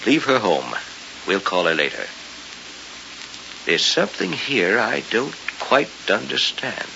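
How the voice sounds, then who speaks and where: An actor playing a sceptical, paternal middle-aged family doctor, probing and authoritative, in old-time radio mystery style. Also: male voice, fairly low pitch, huge pitch swings, takes it slowly, creaky, exaggerated voice. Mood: clinical, reasoning. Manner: probing, authoritative, clinical, emphatic. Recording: hissy, dull old radio recording.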